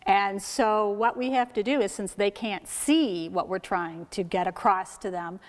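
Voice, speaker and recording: A woman's voice: mid-range voice, unhurried. A woman giving an informal, step-by-step demonstration as a sound effects technician. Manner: instructive, step-by-step explaining. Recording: echoey hall with clear background noise.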